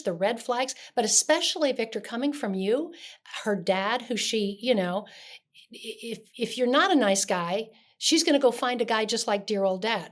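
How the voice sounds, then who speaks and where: An older woman raised in Dallas, speaking earnestly as a guest in an interview, with frequent restarts. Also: fairly high pitch. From Connecticut, raised in Dallas, Texas. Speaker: guest, shelter CEO. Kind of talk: conversational interview.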